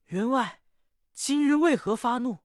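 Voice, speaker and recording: A woman's voice: a little high, animated, a little slow, no echo, clean studio sound, pitched above the performer's own voice. A woman voicing a worried middle-aged mother, the mistress of the house, who questions and coaxes anxiously in a traditional storytelling performance. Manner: anxious, coaxing.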